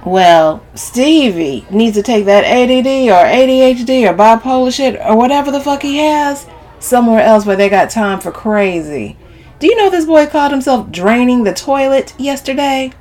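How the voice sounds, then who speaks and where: A brash, sassy woman from an audiobook novel, acted by a woman narrator, pitched high and swooping. She is scornful and dramatic, with a sharp tongue and salty, exclamatory delivery. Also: animated, moderate pace, natural voice. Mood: disgusted, exasperated.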